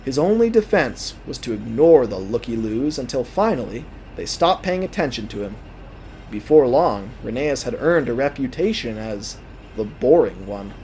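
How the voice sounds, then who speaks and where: A man narrating a scripted audio drama as a storyteller, with theatrical, deliberate phrasing. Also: mid-range voice, a little mellow, slight background noise, low-quality recording.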